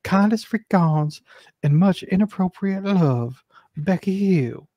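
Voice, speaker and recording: A woman reading aloud as a gushing, effusive female clerk writing flattering emails in a live dramatic reading. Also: fairly low pitch, slow, no room sound, highs cut off. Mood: syrupy. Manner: effusive, performed reading of a letter.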